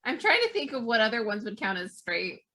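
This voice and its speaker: A woman's voice: on the high side, very animated, fairly quick, bright, forceful tone. A woman talking casually as a player in a tabletop game. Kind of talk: casual chat.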